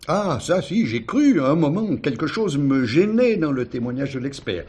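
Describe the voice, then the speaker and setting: A man's voice: on the high side, very animated, moderate pace, darkish tone, breathy edge. An actor playing an older retired judge, formal and reflective, hesitating as he recounts his doubts in a radio play. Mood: troubled, insistent. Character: thoughtful retired judge uncle haunted by doubt.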